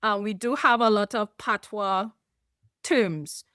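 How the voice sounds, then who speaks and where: A woman with a Trinidadian accent talks in a measured, explanatory way, laughing lightly now and then. Her delivery is slow and unhurried, and her voice is smooth and clear. The sound is dry and close. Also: mid-range voice, bright, forceful tone, no room sound. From Trinidad and Tobago. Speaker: guest. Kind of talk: podcast interview.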